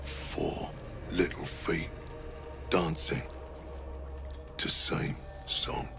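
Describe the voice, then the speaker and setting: Very slow, deep, hollow-minded thrall. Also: male voice, flat delivery, over music. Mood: dazed, eerie. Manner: halting, eerie, broken phrases. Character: hollow-minded male thrall of a monstrous hive mind.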